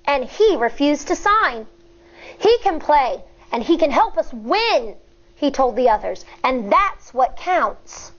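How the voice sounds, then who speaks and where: A woman with a high voice reads aloud like an elementary school teacher to young listeners. Her delivery is expressive and deliberate, shaped for storytelling and explanation. The recording sounds slightly dull and lo-fi. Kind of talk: children's read-aloud with teaching asides.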